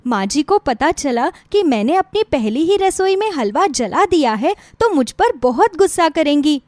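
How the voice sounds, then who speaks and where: A woman's voice: sits high, big pitch swings, moderate pace, darkish tone, dry recording, clean studio sound. A woman voicing an anxious young bride with a pleading, nervous, apologetic manner in a moral story. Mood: frightened. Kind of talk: Hindi moral story acting.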